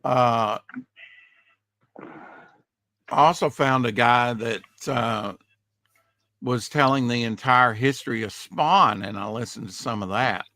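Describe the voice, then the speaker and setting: A male podcast host with a slightly raspy voice, chatting casually in a rambling way, full of fillers and trailing off. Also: mid-range voice, slow, long pauses, sharp tone, dry recording. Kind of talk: casual chat.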